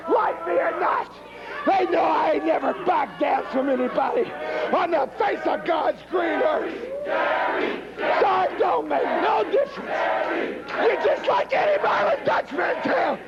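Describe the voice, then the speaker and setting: Man, very high voice, yelling wrestling taunts over a loud crowd on dull TV audio. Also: lively intonation, unhurried. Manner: loud, taunting, boastful, repetitive. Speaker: wrestler cutting a promo. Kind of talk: shouted wrestling promo. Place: TV wrestling studio with live crowd.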